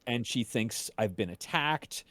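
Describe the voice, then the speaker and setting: A man's voice: mid-range voice, expressive, unhurried, a bit bright, no echo. A man chatting casually and informally among others. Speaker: podcast cast member. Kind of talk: casual chat.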